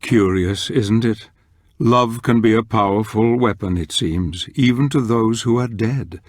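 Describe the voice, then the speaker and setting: Slow, brooding gothic storyteller. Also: male voice, fairly low pitch, faint music behind. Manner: measured, theatrical, ruminative. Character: grave, wistful storyteller of a dark gothic tale. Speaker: narrator. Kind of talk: scripted dramatic narration.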